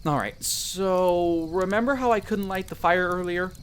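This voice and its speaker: A man playing a friendly, earnest young elf explorer in roleplay audio, explaining things chattily with hesitant pauses. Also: high-pitched, slight background noise. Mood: hesitant, confiding. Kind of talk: character roleplay audio addressed to the listener.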